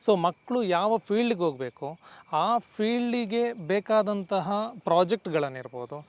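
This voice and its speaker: A man's voice: high-pitched, animated, moderate pace, no echo. A man giving explanatory answers as an executive guest, often mixing in English words. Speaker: company CEO, guest.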